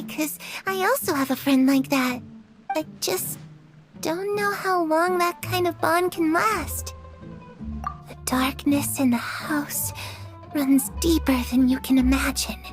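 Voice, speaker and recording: A woman voicing a gentle, eerie little ghost girl in a video game, speaking softly and wistfully over music. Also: high voice. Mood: ominous, hushed. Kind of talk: English video game voice acting. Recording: music behind the voice.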